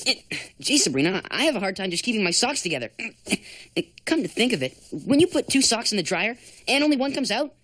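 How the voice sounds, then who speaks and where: A woman voicing a good-natured, slow-witted teenage boy in a cartoon, hesitant and rambling. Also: medium pitch, very animated, fairly bright tone. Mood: puzzled. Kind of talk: animated series acting.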